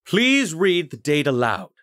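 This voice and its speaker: A man voicing an elegant, mysterious stage magician in an audiobook, theatrical, measured and courteous. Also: on the high side, huge pitch swings, a bit bright, dry recording, studio quality, pitched above the performer's own voice, exaggerated voice. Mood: commanding, mysterious.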